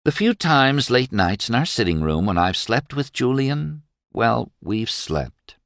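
A man's voice: mid-range voice, very animated, a little slow, fairly bright tone, no echo, studio quality, pitched above the performer's own voice. A man doing a bold, teasing young daughter. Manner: teasing, then fervent and insistent.

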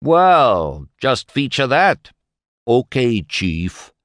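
Male narrator doing a coarse, mocking hired man with a drawl, loud and lively. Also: fairly low pitch, slow, no echo, clean studio sound, pitched above the performer's own voice. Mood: derisive. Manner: drawling, insolent. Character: surly rough hired man, derisive.

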